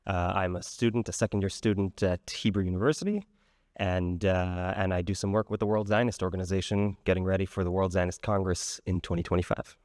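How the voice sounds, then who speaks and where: A young man from Israel introduces himself on a conference panel. His voice is very low and his pace quick, with a few fillers along the way. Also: steady tone, a little mellow, dry recording. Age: young adult. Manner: brisk, with some fillers. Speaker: student panelist. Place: conference stage.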